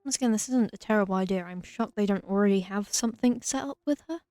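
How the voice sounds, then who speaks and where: A woman's voice: mid-range voice, fast talker, dark tone, dry recording, studio quality. A woman giving casual, wry, joking commentary as she plays through a video game. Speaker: let's player. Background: game music.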